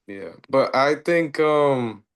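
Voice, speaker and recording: A man on a networking video call, friendly and full of fillers, speeding up and slowing down. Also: mid-range voice, expressive, clear, resonant tone. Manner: friendly, filler-heavy, uneven pace. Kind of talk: casual group chat.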